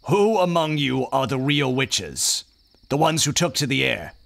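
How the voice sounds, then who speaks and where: Casting: menacing witch-hunting preacher, very gravelly, husky, close dry sound, sermon-like grandeur. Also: mid-range voice, lively intonation, unhurried, a bit bright, dry recording. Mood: accusing. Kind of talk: audio drama acting.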